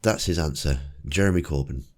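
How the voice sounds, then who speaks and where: A man with a croaky, cold-roughened voice, giving casual commentary with dry, wry asides. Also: extremely low pitch, a little fast, heavy background noise. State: hoarse from a cold. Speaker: political commentator. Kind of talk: casual commentary over clips.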